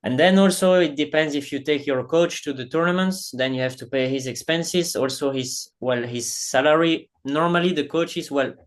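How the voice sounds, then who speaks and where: A man's voice: fairly high pitch, normal speed, few pauses. A young adult man from Belgium, a padel player answering interview questions casually with non-native phrasing and frequent restarts. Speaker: professional padel player, guest.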